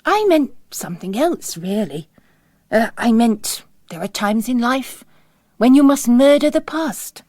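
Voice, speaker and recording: A woman voicing a mysterious male priest, calm, formal and philosophical, with a quietly menacing way of preaching. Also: a little high, dry recording, clean studio sound. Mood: earnest, persuasive. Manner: measured, formal, sermonizing.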